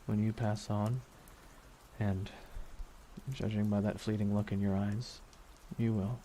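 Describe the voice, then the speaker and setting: A dark, wistful villain voiced by a man speaks in a soft, mellow, lowish tone, as if close by in an intimate ASMR roleplay. He is deliberate and brooding, leaving long pauses, with quiet menace under the words. Rain patters behind him. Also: flat delivery, lightly acted. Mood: solemn, wistful. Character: villain reflecting beside his dying superhero nemesis, wistful, menacing. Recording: noticeable rain noise throughout. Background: rain falling on a surface.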